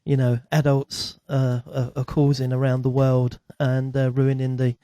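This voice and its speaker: A man's voice: medium pitch, monotone, a little slow, warm tone, no echo. A man speaking as a guest in a radio studio, explaining things casually and a little hesitantly.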